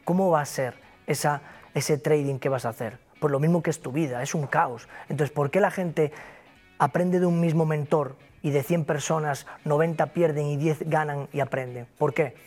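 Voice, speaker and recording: Galician guy on an interview, kinda high voice, pushy motivational talk, soft music under it. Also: steady tone, moderate pace. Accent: Galician Spanish. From Galicia, Spain. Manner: emphatic, repetitive, motivational. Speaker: interview guest, broker company founder. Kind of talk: interview conversation.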